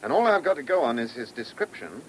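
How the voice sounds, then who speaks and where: An actor playing a terse, dogged English chief inspector in a radio play, with a British accent and a curt, businesslike manner. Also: male voice, medium pitch, big pitch swings, quick pace, lightly acted. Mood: determined. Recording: noisy old radio drama recording.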